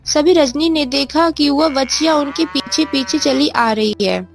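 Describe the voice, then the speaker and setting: A woman with a very high voice narrates a children's bedtime tale from a script. Soft music runs behind her. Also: unhurried, vocal fry.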